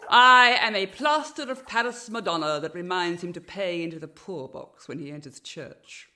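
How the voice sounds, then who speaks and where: A woman's voice: lowish voice, normal speed, sharp tone, slight background noise. An actress playing a haughty, brittle, respectable 19th-century lady in a period radio drama, speaking precisely and archly. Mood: dry, bitter. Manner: imperious, precise, arch, theatrical.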